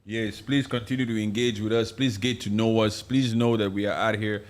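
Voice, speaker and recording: A man's voice: lowish voice, level tone, normal speed. Impassioned African man, emphatic. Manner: impassioned, emphatic, repeats phrases. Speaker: live stream co-host. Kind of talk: casual political chat.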